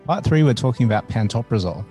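A man's voice: mid-range voice, animated, quick pace, mellow, faint music behind. A man hosting a podcast, wrapping up the episode and previewing the next part. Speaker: podcast host.

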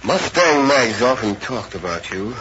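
An actor playing a worried, excitable ranch owner in an old-time radio western, with an exclamatory manner. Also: male voice, medium pitch, a little slow, lightly acted. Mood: astonished, trusting. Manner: anxious, exclamatory. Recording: muffled, noisy old radio recording.

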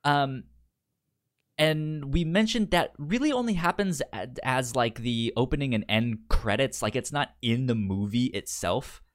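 A man co-hosting a casual film discussion, speaking haltingly and often stammering and repeating words. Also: mid-range voice, expressive. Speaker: film podcast co-host.

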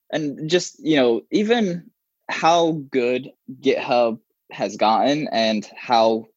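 A man's voice: mid-range voice, no echo. Arabic-first-language guy rambling thru an interview, lots of restarts, kinda muffled dry audio. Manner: rambling, frequent restarts and fillers. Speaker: software developer guest. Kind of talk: casual interview conversation.

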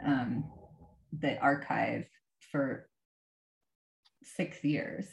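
A woman filmmaker and artist answering interview questions in a measured, precise way, breaking into soft laughs. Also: rumbling low, fairly even intonation, gravelly, echoey room, low-quality recording. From diaspora of Afghanistan, grew up abroad.

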